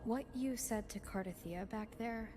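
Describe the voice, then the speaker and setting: A woman playing a level-headed, reassuring young adventurer in a video game, measured and pragmatic. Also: fairly high pitch, natural voice. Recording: background music under dialogue. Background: game music.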